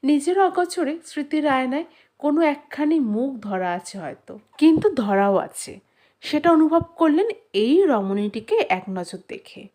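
A woman narrating a literary short story in an expressive scripted style, measured and with dramatic pauses. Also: high voice.

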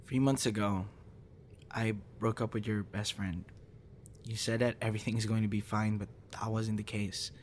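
A young man performing an intimate audio roleplay as a troubled guy confiding in a close friend after a breakup, halting and stammering. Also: fairly low pitch, a bit bright. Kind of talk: intimate ASMR boyfriend roleplay, acted monologue. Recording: noticeable background noise.

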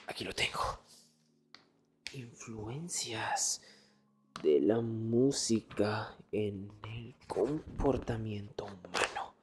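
Slow, low-voiced nerdy young man acted out. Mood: exasperated. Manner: hushed, hesitant stammers, often dropping into whispers. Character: arrogant nerdy young male student, exasperated, teasingly dominant. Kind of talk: Spanish ASMR boyfriend roleplay, intimate one-sided dialogue.

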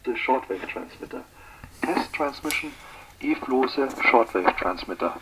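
Radio test announcer: man, extremely raspy through a receiver, animated intonation. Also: mid-range voice, unhurried, low-quality recording. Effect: heard through a shortwave radio receiver. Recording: raspy radio speaker, picked up in room.

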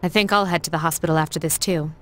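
Composed young female student leader, game drama; lowish voice, formal, serious delivery. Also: steady tone, fast, fairly bright tone. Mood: calm, resigned. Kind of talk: scripted game voice acting.

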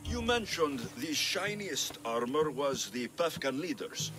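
A wry, blunt mercenary adventurer in an ancient Greek video game. His pitch is very mobile, carrying dry humour and a readiness to help. Music plays clearly behind the voice. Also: sing-song, measured pace. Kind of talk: video game character acting.

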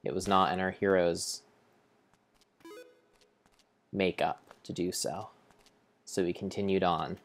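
A young man with a very deep voice narrates gameplay as if reading a heroic storybook. His delivery is deliberate and halting, with mock-grand phrasing made up as he goes. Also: fairly even intonation. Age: young adult. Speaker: game streamer. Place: indoor room at home.

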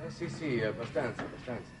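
A man's voice: mid-range voice, expressive, fast talker, lightly acted. An actor in his forties playing a worldly, wistful foreign minister in a 1960s television drama, urbane and wry in conversation. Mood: subdued, uneasy. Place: large reflective television studio set. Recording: roomy, noisy old television soundtrack.

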